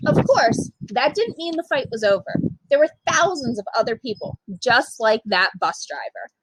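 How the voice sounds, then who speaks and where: A woman reading a children's picture book aloud in an expressive, emphatic way with dramatic pauses. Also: on the high side, breathy edge, no room sound, low-quality recording. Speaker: storytime reader, literacy program manager.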